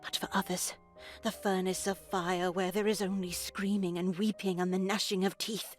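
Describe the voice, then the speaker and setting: A woman playing a stern, pious older nun in a horror game, preachy and condescending with quiet menace. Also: medium pitch, little pitch movement, moderate pace, faint music behind, close to an everyday voice. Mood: solemn, preaching. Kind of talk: dramatic visual novel voice acting.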